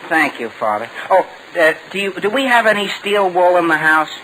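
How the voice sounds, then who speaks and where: An actor playing an earnest, plaintive teenage son in a 1940s radio sitcom, on a noisy vintage broadcast. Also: male voice, high voice, very animated, moderate pace, sharp tone, full character voice. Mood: polite. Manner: boyish, plaintive, exclamatory.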